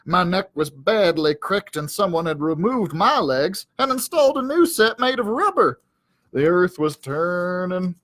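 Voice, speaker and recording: A man's voice: sits high, a bit bright, no echo. A man voicing a self-important, aggrieved cowdog who tells his storybook tale in a pompous, melodramatic, wordy way. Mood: dazed, defensive.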